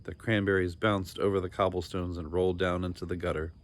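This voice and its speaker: A man narrating a children's storybook in an expressive, deliberate and dramatic storytelling style. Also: deep voice. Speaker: storybook reader.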